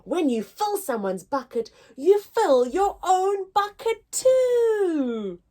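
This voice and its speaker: Slow, animated woman reading to children. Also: very high voice. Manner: expressive, deliberate teacher-like delivery addressed to young children. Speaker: story time host reading picture books aloud. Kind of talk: children's storybook read-aloud.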